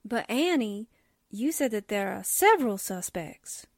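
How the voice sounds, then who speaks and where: An actress playing an eager young newspaper sidekick in a 1940s-style radio mystery. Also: female voice, mid-range voice, big pitch swings, a little slow, dry recording, clean studio sound. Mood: puzzled.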